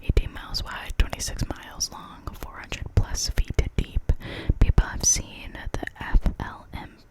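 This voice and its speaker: An ASMR storyteller reading spooky local legends in a soft, unvoiced whisper, now and then stumbling and correcting themself. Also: unhurried. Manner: soft unvoiced whisper throughout, with small slips and self-corrections.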